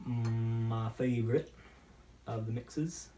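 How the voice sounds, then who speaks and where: A man's voice: medium pitch, fairly even intonation, a little slow, crowd noise. A chatty, enthusiastic man hosting a casual talk show, bantering as he mixes drinks. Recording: roomy, distant mic with noise and clicks.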